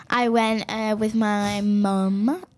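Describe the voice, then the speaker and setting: A woman's voice: mid-range voice, steady tone, drawn-out words, a little mellow. A girl hosting a home podcast in casual chat with friends, bossy and giggly. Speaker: podcast host. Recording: faint background noise.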